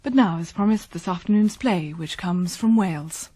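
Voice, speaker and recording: A woman continuity announcer introducing the play with a measured, formal delivery. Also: on the low side, warm tone, dry recording. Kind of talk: broadcast announcement.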